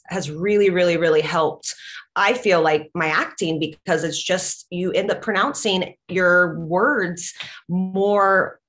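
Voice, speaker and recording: A woman's voice: on the low side, fairly bright tone. A woman who grew up in Latvia, speaking English as a second language in a casual interview, earnest and enthusiastic, with many fillers. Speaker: actress and professional wrestler, interview guest.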